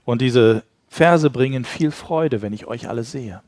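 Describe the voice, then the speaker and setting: A man works as a live interpreter for a sermon. His voice sits at an average pitch and he delivers the message in short consecutive phrases. The sound is dry, with hardly any room to it. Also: a little slow, darkish tone, dry recording.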